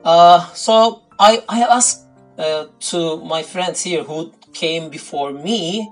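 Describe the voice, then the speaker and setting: A man's voice: fairly high pitch, takes it slowly, faint music behind, low-quality recording. A man from Turkey with a Turkish accent, answering interview questions in English, his second language, with many fillers and restarts.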